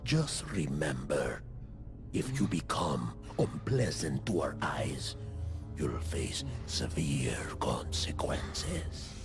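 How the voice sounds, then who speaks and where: An actor playing a hulking, older village chief in a video game, gravelly, slow and menacing. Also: male voice, rumbling low, very animated, music in the background, exaggerated voice. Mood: cold threat. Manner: slow, deliberate, threatening. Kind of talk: video game cutscene acting.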